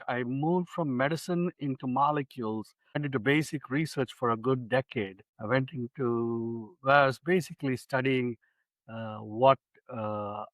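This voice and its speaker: A man in his sixties from India, a physician guest telling his story in an unhurried, reflective way in a studio interview. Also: medium pitch, a bit bright, no echo, poor audio. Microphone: studio microphone.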